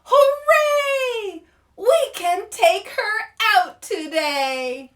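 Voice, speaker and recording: Woman acting out gushing, high-pitched excited schoolkids. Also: very slow, exaggerated voice. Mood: gleeful. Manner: gushing, exclaiming. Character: excited, adoring young schoolchildren. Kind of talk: storybook read-aloud.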